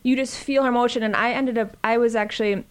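A woman from upstate New York, talking in a gushing, animated way as a guest in a casual interview. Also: fairly high pitch, quick pace, clear, resonant tone. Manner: gushing, animated, with many fillers.

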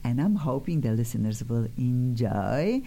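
A woman's voice: very deep voice, very animated, measured pace, vocal fry, mellow. A woman hosting a studio talk show, chatty and effusive, often restarting her sentences. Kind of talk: lively studio chat.

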